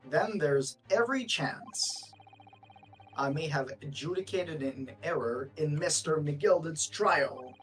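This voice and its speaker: Pompous courtroom judge played by a man, formal and indignant, slightly high voice, distant mic with faint noise. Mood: outraged. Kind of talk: video game fan dub.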